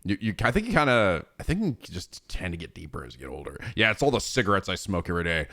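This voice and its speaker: A man's voice: deep voice, very animated, fast, bright, forceful tone. A man in his thirties chatting casually on a livestream, rambling and swearing freely with frequent laughs. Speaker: streamer.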